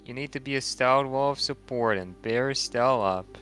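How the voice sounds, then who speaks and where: A man voicing a calm, experienced woman mentor in an amateur read-through of a video game, measured and serious in delivery. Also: medium pitch, faint music behind, close to an everyday voice. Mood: serious, reassuring. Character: calm, experienced older-sisterly woman, seasoned adventurer mentor. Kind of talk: amateur voiced video game dialogue reading.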